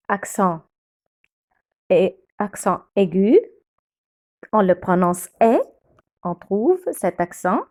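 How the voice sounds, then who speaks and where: A woman, a native Arabic speaker, teaching French accent marks slowly and repeating each word for learners. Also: medium pitch, darkish tone. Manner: slow, deliberate, repeats words for learners. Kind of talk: slow classroom explanation of French accents.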